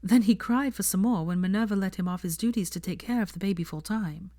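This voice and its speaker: A woman's voice: mid-range voice, quick pace, dark tone, lightly acted. A woman reading the part of an earnest, prim young woman who speaks articulately. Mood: chatty.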